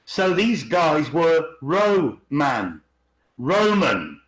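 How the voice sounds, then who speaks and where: A man co-hosting a podcast, explaining conversationally and slowing down to stress key words. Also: a little high, big pitch swings. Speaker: podcast co-host. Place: indoors on an online group call. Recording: roomy, echoing online call.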